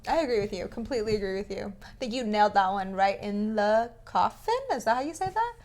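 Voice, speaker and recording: A woman's voice: medium pitch, expressive, fast, a little mellow. A woman whose first language is Vietnamese, co-hosting a casual podcast with an agreeable, slightly hesitant, self-deprecating manner. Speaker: podcast co-host.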